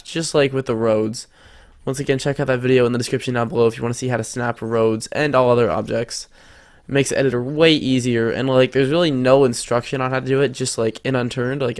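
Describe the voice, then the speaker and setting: A teenage boy hosting a casual game tutorial, chatty and thinking aloud as he builds, his nose a little stuffed up. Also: mid-range voice. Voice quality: slightly congested. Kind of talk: casual screen-recorded tutorial.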